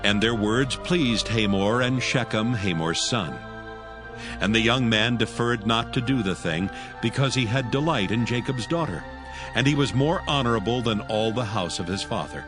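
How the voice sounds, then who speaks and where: A man narrating scripture in a measured, solemn way. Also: on the low side. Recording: music bed behind voice.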